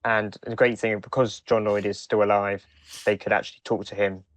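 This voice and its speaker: Male podcast co-host, lower-pitched, dry recording, conversational and stop-start. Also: flat delivery, normal speed, no echo. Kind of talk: casual discussion.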